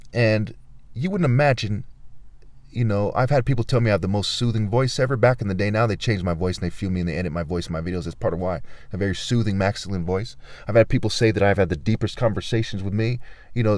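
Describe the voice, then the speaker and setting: A man giving an angry, rambling monologue, swearing and swinging between low murmurs and loud outbursts. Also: dry recording. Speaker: vlogger.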